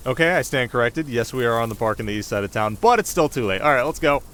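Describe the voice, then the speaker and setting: A man's voice: medium pitch, animated, fast talker, a bit bright, lightly acted. A man playing a cocky, playful young winter spirit in an ASMR roleplay, talking casually with sarcastic, teasing banter. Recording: clear outdoor ambience noise behind voice.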